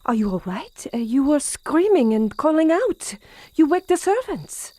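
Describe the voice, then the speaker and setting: A woman's voice: high-pitched, very animated, a little slow, mellow. A woman playing a devoted, deferential French housemaid in an audio drama, polite and attentive. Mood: concerned. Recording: faint music behind the voice.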